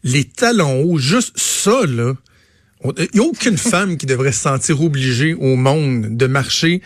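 A man's voice: medium pitch, animated, a little slow, dry recording, studio quality. A man in his late thirties with a Québécois accent, co-hosting a radio show in a casual, colloquial chat style. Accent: Québécois French. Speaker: radio co-host.

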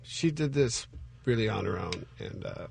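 A man talking as a guest in a casual interview, earnest and halting, about his partner's work. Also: on the low side, expressive, vocal fry, a little mellow, slightly rough, no room sound.

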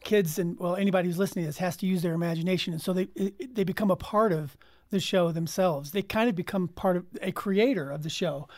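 A man chatting casually as a panel guest, explaining in a halting way and repeating words as he thinks. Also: high-pitched, darkish tone, no echo, studio quality. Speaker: panel guest from an audio drama team.

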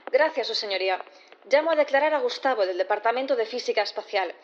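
A woman plays a formal courtroom prosecutor. She talks very fast in a fairly high, businesslike voice, as in an audio drama. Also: muffled recording, close to an everyday voice. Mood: brisk, formal. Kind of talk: scripted audio fiction acting.

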